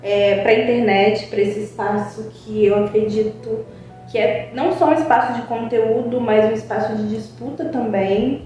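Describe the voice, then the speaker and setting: A young woman, a psychologist, talks in a mellow, fairly high voice, earnest and reflective, often pausing on a drawn-out filler. She sits back from the mic in a strongly echoing room with faint music underneath. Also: fairly even intonation, far from the mic. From Brazil. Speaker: psychologist guest. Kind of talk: informal spoken talk to a web TV channel.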